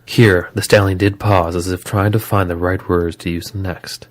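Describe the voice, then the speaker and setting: A man with a low voice narrates a scripted horror story. He tells it dramatically, as the narrator of an audio drama. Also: level tone, normal speed.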